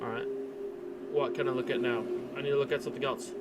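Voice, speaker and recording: A man's voice: fairly even intonation, fast talker. A man streaming a game with casual, wry reaction commentary, muttering short interjections and swearing freely. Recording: very noisy, constant background rumble.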